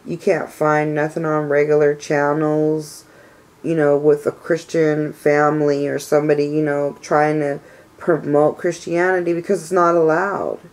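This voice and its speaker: Stuffed-up raspy woman venting. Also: deep voice, level tone, a little slow, darkish tone. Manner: frustrated, venting complaints; rambling with many fillers like "you know". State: stuffy nose from a cold. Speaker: vlogger. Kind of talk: casual personal vlog rant.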